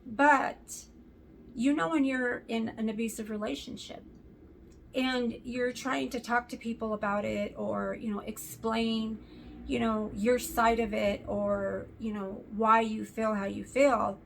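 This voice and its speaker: Slow, raspy woman with a sore throat. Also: fairly high pitch, steady tone, slight background noise, poor audio. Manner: earnest, hesitant, many fillers. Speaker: vlogger. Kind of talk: casual talk to camera.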